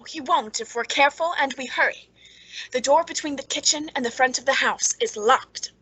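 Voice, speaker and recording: A high-voiced, bitter woman played by an actress broods through a radio suspense story. She speaks with pointed, deliberate menace, clever and quietly scornful of those around her. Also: poor audio. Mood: urgent, hushed alarm. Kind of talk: old-time radio suspense acting.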